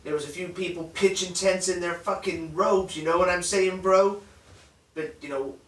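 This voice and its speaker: A man playing a profane, bullying spoiled son of a crime boss, in a dark comic drama. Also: high-pitched, steady tone, normal speed. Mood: low, confiding. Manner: profane, aggressive, ranting. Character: foul-mouthed bullying spoiled heir of a crime boss father. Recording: roomy echo, distant microphone.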